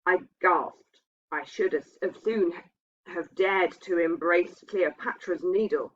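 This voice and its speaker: A woman's voice: lowish voice, slow. A woman reading a literary ghost story aloud as a narrator. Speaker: reader. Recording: dull, muffled lo-fi sound.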